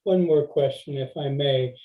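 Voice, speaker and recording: A man's voice: medium pitch, unhurried, phone-quality sound. A man in the audience asking questions hesitantly, with many fillers, on a video call.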